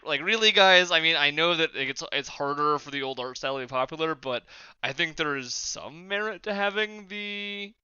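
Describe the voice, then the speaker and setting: Crisp, very bright-voiced man. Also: fairly high pitch, no room sound, muffled recording. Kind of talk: casual podcast discussion.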